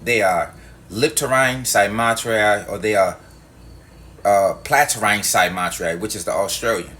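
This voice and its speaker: A man's voice: lowish voice, a little slow, fairly bright tone. A man delivering a heated monologue to his viewers, emphatic and profane, repeating his points. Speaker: video host.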